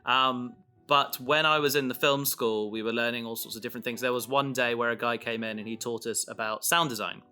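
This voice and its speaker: A man chatting casually and reflectively as a podcast creator, haltingly thinking aloud as he goes. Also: mid-range voice, fairly even intonation, pushed and bright, faint music behind.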